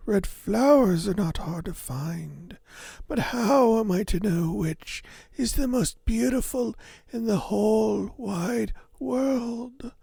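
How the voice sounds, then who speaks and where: A man voicing a proud, doting merchant father in a fairy tale, with rough, grand and archaic speech. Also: high-pitched, takes it slowly, mellow, no room sound, pitched above the performer's own voice, heavily acted. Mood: pondering. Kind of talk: storybook character voice.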